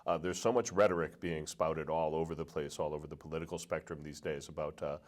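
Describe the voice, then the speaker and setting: A man's voice: very deep voice, steady tone, quick pace. An American man hosting a casual talk interview, wordy and often stammering between phrases. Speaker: interview host.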